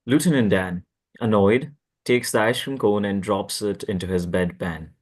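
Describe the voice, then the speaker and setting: A man's voice: lowish voice, moderate pace. A man narrating a script at a table read, reading out stage directions.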